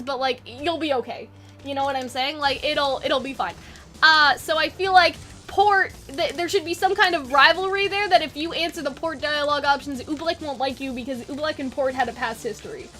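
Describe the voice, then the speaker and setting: A woman with a high, bright voice talks in a loose, casual way, as if thinking out loud for her online audience. Her delivery is chatty and informal. Faint music plays behind her. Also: a little fast. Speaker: online video creator and host. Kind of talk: casual fan brainstorming chat.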